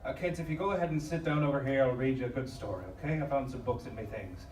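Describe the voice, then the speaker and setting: A man plays a kind stowaway caring for his group in a live audio drama. His mid-pitched voice is gentle and coaxing. It sounds distant and echoey, with faint noise. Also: fast, lightly acted. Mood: reassuring, gentle. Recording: echoey live stage from far off.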